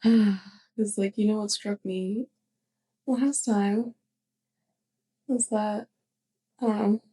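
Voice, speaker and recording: A woman playing a young, clumsy, affectionate witch neighbor in a soft, hesitant ASMR roleplay monologue, speaking straight to the listener. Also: mid-range voice, fairly even intonation, husky. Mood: fond, musing. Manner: hesitant, halting, giggly.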